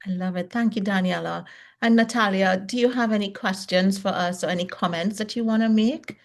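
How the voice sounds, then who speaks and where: A woman's voice: medium pitch, a little fast, gravelly. A woman hosting a podcast interview, giving brief, encouraging lines between guests. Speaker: podcast host.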